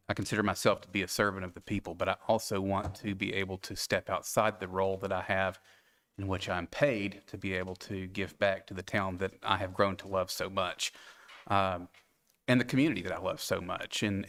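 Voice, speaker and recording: A man in his forties with a Tennessee accent, speaking earnestly with halting restarts as an applicant at a town board meeting. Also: deep voice, flat delivery, a bit bright. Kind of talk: interview for a board appointment.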